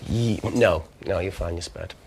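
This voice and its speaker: A man's voice: sits low, normal speed, darkish tone, a little hiss or hum. An actor playing a wry, guarded man in a crisis, dry and understated, in naturalistic film dialogue. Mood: tense, wry.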